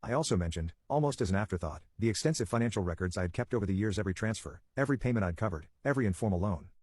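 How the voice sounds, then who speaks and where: A man reads a dramatic first-person story at a brisk clip. His voice sits fairly low and has a husky grain, delivered in short, punchy phrases. The recording is dry and close. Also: lively intonation, a little mellow, dry recording. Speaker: storyteller narrator.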